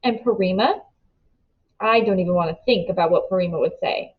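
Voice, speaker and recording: A woman reading a children's novel aloud with expressive, first-person storybook narration. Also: lowish voice, a little fast, highs cut off. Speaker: storybook reader.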